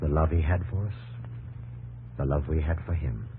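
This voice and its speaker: An actor playing an earnest, determined industrial pioneer and devoted husband in a 1950s radio drama. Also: male voice, a bass voice, moderate pace, narrow, tinny audio. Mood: tender, hushed. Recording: old radio broadcast with faint hum.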